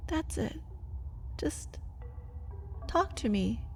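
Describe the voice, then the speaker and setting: A woman playing a caged vampire lover in an ASMR roleplay, intimate and breathy, pleading and bitter, often dropping toward a whisper. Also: high voice, big pitch swings, very slow, soft background music, full character voice.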